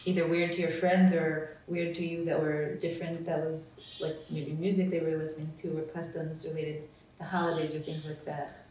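A woman interviewer asking long, curious questions, with soft affirmations between the answers. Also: low-pitched, little pitch movement, moderate pace. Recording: echoey room, distant mic, light noise.

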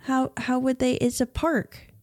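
Woman, podcast co-host, higher voice, inquisitive, laughs a lot. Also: steady tone, measured pace, darkish tone. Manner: questioning, laughs often. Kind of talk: casual chat.

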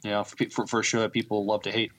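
A man co-hosting a podcast in casual chat, halting and repeating words as he thinks. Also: lowish voice, flat delivery, a bit bright. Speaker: podcast co-host.

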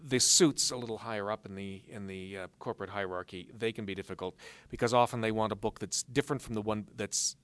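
A man answering interview questions as a guest author, explaining things in a measured way with dry humor. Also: on the low side, fairly even intonation, a bit bright, clear, resonant tone, no echo. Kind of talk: live interview answers before an audience.

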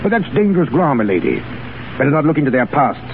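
An actor playing a gruff, hearty, condescending military commandant in 1930s radio style. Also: male voice, mid-range voice, big pitch swings, quick pace. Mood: bluff, condescending. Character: bluff, jovial, condescending Legion commandant. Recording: tinny, noisy 1930s radio recording.

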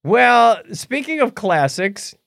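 A man co-hosting a podcast in casual chat, sometimes halting as he searches for words. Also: extremely high pitch, very animated, fairly bright tone, no room sound, studio quality. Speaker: podcast co-host. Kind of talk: casual chat about movies and tech.

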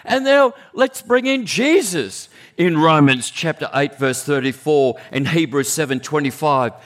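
Animated male preacher, conversational sermon. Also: unhurried. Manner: animated, conversational preaching with sudden high exclamations.

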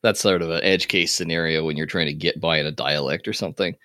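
A man running a tabletop game playtest as game master, talking in a relaxed, rambling way with fillers and laughs. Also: a bass voice, fast, no echo, studio quality.

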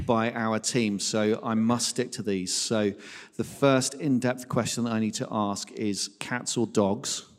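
Low-voiced male church host in echoing hall. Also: fairly even intonation, unhurried. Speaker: church host interviewing guest and leading prayer. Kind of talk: informal live church interview and spoken prayer. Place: large church hall, live event. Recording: echoey hall with long reverb.

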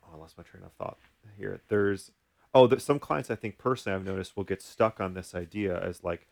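A man co-hosting a casual talk as a therapist, informal and halting as he thinks aloud. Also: deep voice, gravelly. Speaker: podcast host, therapist. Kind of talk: casual chat.

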